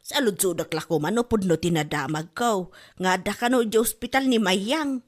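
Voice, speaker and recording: A woman's voice: medium pitch, moderate pace, natural voice. A woman playing a gossipy, ailing and quarrelsome village wife with an Ilocano accent in a comedy drama podcast. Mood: scolding, nosy.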